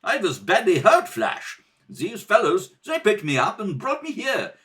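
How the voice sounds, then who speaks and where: Older scientist voiced by a man, hearty, excitable, pitched up, radio adventure serial. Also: measured pace, full character voice. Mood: hearty relief. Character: excitable older scientist, hearty and warm toward his friend. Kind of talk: old radio serial acting.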